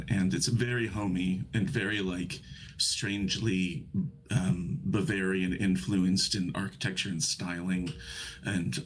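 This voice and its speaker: A man's voice: deep voice, rough recording. A man acting as game master, narrating scenes and prompting his players in a loose, chatty way with frequent laughter. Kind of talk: casual tabletop game narration.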